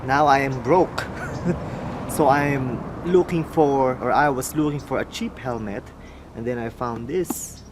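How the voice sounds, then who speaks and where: A young man from the Philippines with a Filipino accent, talking slowly and mumbling a little as he muses aloud in a casual unboxing vlog. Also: medium pitch, noisy background. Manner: slow, mumbling, musing aloud. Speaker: motorcycle vlogger.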